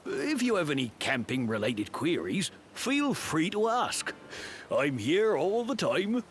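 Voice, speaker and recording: A man's voice: fairly high pitch, big pitch swings, a little slow, a little hiss or hum. A man playing a hearty, chatty camping enthusiast in a video game, advising adventurers in a folksy, theatrical way. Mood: jolly, instructive. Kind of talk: scripted video game voice acting.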